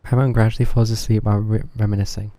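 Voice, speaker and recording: A man's voice: fairly low pitch, little pitch movement, quick pace, warm tone, a little hiss or hum. A man giving casual live commentary as he streams a game, muttering to himself and reading text aloud.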